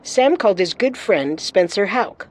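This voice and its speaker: A woman co-hosting a podcast, giving scripted true-crime narration with deliberate, dramatic storytelling. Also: mid-range voice, expressive.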